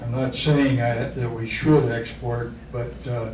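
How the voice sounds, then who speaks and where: A man speaking at a public meeting, halting and hesitant, restarting his sentences. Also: mid-range voice, steady tone, a little mellow, slight background noise. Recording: echoey hall, far mic.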